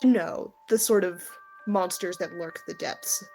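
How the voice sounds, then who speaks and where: A woman game master narrating a tabletop game in halting, deliberate phrases. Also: on the low side, over music, low-quality recording.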